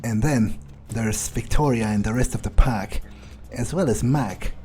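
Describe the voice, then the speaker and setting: A man's voice: medium pitch, measured pace, dark tone, lightly acted. Man playing an arrogant, menacing vampire over music. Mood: confident, musing. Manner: sneering, profane, domineering. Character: arrogant, menacing male vampire drunk on power. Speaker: gamer playing in character. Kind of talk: improvised in-character roleplay monologue.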